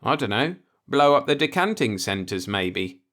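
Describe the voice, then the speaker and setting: A man's voice: mid-range voice, sing-song, a little slow, a bit bright, pitched above the performer's own voice. A man voicing an earnest, law-abiding and easily alarmed man in a science-fiction audiobook, with an insistent manner. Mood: wry. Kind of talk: audiobook character voice in a sci-fi story.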